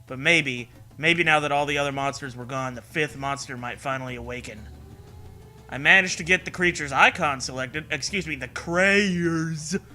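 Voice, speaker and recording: A man's voice: a little high, moderate pace, pushed and bright, soft background music. A man co-hosting a comedic read-along, sarcastic and foul-mouthed, switching between dramatic reading and mocking commentary.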